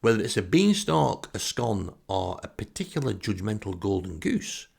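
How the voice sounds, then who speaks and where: Male podcast storyteller, Northern English, lowish creaky voice, sarcastic and wry, comic anecdotes. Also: very animated, normal speed, darkish tone. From northern England. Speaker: podcast host. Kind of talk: comic first-person storytelling monologue.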